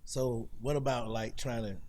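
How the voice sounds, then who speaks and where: A man's voice: mid-range voice, steady tone, moderate pace, darkish tone, a little hiss or hum. A man co-hosting a casual interview, asking direct, short follow-up questions. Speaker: podcast co-host.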